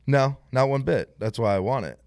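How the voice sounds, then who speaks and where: A man chatting casually about cars, animated and full of filler words. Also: mid-range voice, moderate pace, no echo, studio quality. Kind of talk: casual car chat.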